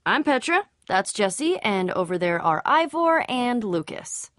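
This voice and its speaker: Bright, feisty young adventurer woman. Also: medium pitch, sing-song, a little slow, no room sound, studio quality. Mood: wry, uneasy. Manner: casual, wry, teasing. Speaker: video game voice actor. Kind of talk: animated game dialogue.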